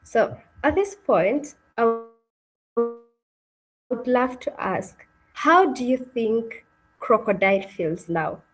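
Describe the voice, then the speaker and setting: A woman with a fairly high voice and a very unhurried pace hosts a read-aloud over a video call. She is encouraging and moves between guiding the group and narrating a children's story. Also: animated, faint music behind, rough recording. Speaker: webinar host and story narrator. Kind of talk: interactive read-aloud session, hosting and narrating.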